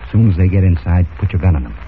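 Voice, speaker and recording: A man's voice: deep voice, lively intonation, racing. An actor playing a tough, menacing male pirate crook with sneering gangster talk in an old radio drama. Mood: defiant, sneering. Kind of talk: 1940s radio drama acting. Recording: muffled, noisy old radio recording.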